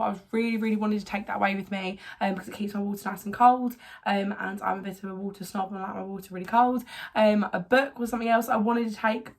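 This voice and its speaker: Hoarse chatty young woman vlogging. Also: medium pitch. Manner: chatty, rambling, filler-heavy. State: hoarse, recovering from a cold. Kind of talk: casual packing vlog. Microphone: camera on tripod.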